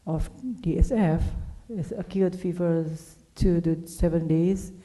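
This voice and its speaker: A woman with an Indonesian accent presents at a medical conference. Her voice is very soft-edged and dark, pitched a little low. She speaks halting English, piecing phrases together as a non-native speaker. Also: flat delivery, low-quality recording. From Indonesia, Java. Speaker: clinical pathologist, conference speaker.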